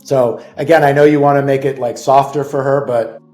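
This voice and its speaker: A man of middle pitch hosts a casual discussion, speaking firmly and emphatically. He hammers his points by repeating them. Faint music plays softly beneath his voice. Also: steady tone, brisk, reverberant. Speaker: host, father in custody case.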